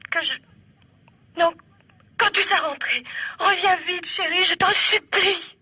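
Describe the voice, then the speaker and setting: A woman's voice: very high-pitched, a little slow, bright, forceful tone, no room sound, heavily acted. An actress playing a terrified young mother and wife, pleading with dramatic hesitations, in old French radio mystery drama style. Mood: pleading, secretive.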